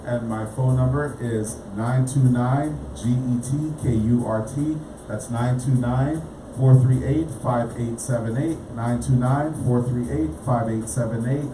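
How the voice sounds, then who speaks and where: A Guyanese-born lawyer, a man, guesting on a radio talk show, speaking haltingly with many repeated words. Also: mid-range voice. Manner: halting, repeats words, spells things out slowly. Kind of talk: casual radio interview. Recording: echoey room, mic far off, noisy.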